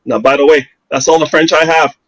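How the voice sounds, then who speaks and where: A man's voice: fairly high pitch, steady tone, a little fast, a bit bright, a little hiss or hum. A man from Canada, a financial advisor answering interview questions confidently and persuasively with rhetorical questions.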